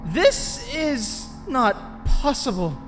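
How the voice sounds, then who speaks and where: An earnest, nerdy teenage boy acted by a man speaks in a high, animated voice. He sounds anxious and expressive, telling his story in a dubbed comic, with long reverb around him and music underneath. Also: very slow, heavily acted. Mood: urgent, anxious. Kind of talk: dramatized comic dub, dialogue and first-person narration.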